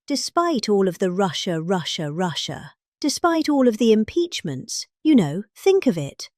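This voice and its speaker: A woman's voice: fairly high pitch, darkish tone, no room sound, studio quality. A middle-aged woman with a British accent giving a dramatic reading of a rambling political speech, with theatrical, exaggerated emphasis.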